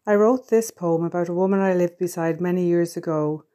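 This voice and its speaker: A woman, a poet, introducing herself and then reciting her own poem in a slow, deliberate way. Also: fairly low pitch, dark tone. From Ireland. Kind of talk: poetry reading.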